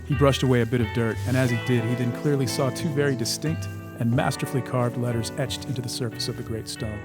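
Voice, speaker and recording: Male story narrator over clear music. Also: medium pitch, steady tone, fast. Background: background music.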